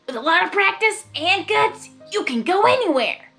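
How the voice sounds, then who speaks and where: A woman's voice: very high voice, animated, normal speed, gravelly, bright, forceful tone, soft background music, voice pushed up, heavily acted. A woman voicing a brash, encouraging female cartoon pegasus athlete pony in a bold, casual, upbeat manner.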